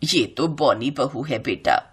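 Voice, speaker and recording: Stern older mother-in-law played by a female narrator, lowered voice, bossy and grumbling, storybook family drama. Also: lively intonation, slow, slightly rough, exaggerated voice. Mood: disapproving. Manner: scolding, grumbling.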